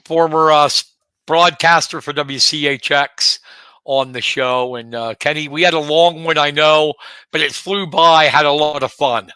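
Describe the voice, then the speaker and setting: A man's voice: on the high side, steady tone, a little slow, bright, forceful tone, smooth, dry recording. A man, co-hosting a sports talk show in a friendly, teasing way. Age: 50s. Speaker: co-host.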